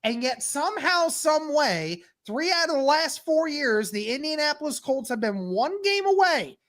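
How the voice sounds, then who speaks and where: Female sports panelist, higher voice, defensive and emphatic, debate style. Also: very animated, moderate pace, a bit bright. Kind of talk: heated football debate.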